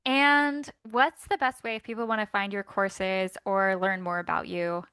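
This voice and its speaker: An enthusiastic woman hosting an interview, quick with encouraging affirmations. Her voice is bright and smooth, with a crisp edge. The recording is close and dry. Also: medium pitch, fairly even intonation, dry recording. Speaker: podcast host.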